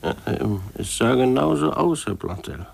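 A man acting a wary, flattering henchman in a 1950s German radio drama, deferential and halting. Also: medium pitch, vocal fry, husky, exaggerated voice.

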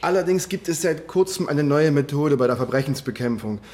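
A man's voice: a little high, animated, a little fast, a little mellow, close to an everyday voice. An actor in a German radio drama playing a chatty, plain-spoken, wry male police detective with a breezy, explanatory manner.

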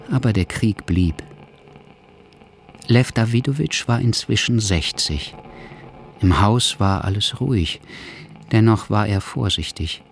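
An actor playing a sombre male writer who narrates history in measured literary style for a radio drama. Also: fairly low pitch, slight background noise.

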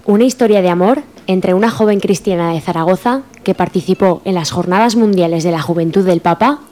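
A woman's voice: fairly low pitch, fairly quick, mellow, faint music behind. A woman from narrating a scripted radio drama. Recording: narration over crowd noise.

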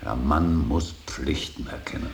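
An actor playing a stern, earnest older painter and professor in a radio play, preaching duty with emphatic, deliberate repetition. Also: male voice, heavily acted. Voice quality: gravelly, very deep. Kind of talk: German radio play acting. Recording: hissy radio drama recording.

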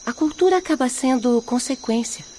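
A gentle, devout young peasant spirit. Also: female voice, sits high, expressive, moderate pace, a little hiss or hum. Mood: earnest. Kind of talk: radio drama acting.

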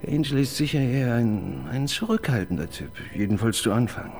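An actor playing an eager, pedantic police assistant in a radio crime drama, prone to hesitant restarts. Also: male voice, medium pitch, lively intonation, fairly quick, a little mellow, slight background noise, close to an everyday voice.

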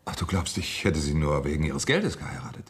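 Radio crime drama: uneasy, calculating husband with a guilty past; low, gravelly, swinging intonation, hesitant excuses. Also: male voice, very animated, brisk, exaggerated voice. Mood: defensive. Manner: hesitant, explaining, evasive. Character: nervous, evasive kept husband, calculating former accomplice.

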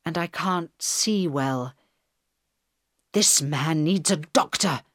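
A woman voicing a middle-aged male passenger and father, speaking low and measured. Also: no room sound, clean studio sound. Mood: concerned.

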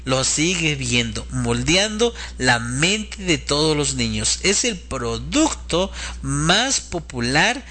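A man's voice: a little high, big pitch swings, slow pace, bright, forceful tone. A man giving an earnest, moralizing religious commentary in a sermon-like lecture style.

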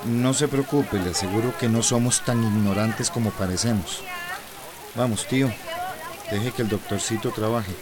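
A man's voice: fairly low pitch, normal speed, crowd noise, natural voice. An actor playing a feisty, protective village man with a Central American accent in a radio drama, blunt and plain-spoken. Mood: grudging.

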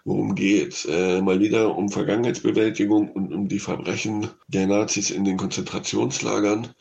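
Creaky low-voiced man reviewing films. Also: steady tone, normal speed, darkish tone, highs cut off, a little hiss or hum. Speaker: radio film critic. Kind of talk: unscripted radio film review.

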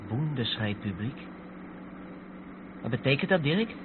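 A narrow, tinny radio drama recording with engine noise behind it. a curious male co-driver with a medium-pitched voice and a naive, questioning manner. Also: sing-song, a little slow. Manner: inquisitive.

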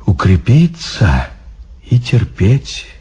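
A man voicing old grey-bearded monks who speak slowly, soothing and resigned. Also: mid-range voice, very animated, warm tone, slightly rough, full character voice.

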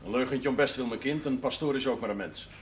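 An actor playing a fatherly, wry village parish priest in a television period drama, gently admonishing. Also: medium pitch, quick pace, lightly acted. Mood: wry, inquiring. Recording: noisy old television drama soundtrack.

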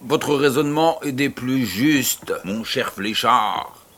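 A man's voice: medium pitch, takes it slowly, fairly bright tone. A man playing a genial, curious aristocratic baron in an audio drama, urbane and a little condescending. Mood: polite doubt.

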